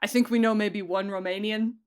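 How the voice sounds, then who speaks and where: A woman's voice: medium pitch, steady tone, brisk, a little mellow, smooth. A woman speaking as an audio drama director and producer in a casual interview, enthusiastic and emphatic, often giggly.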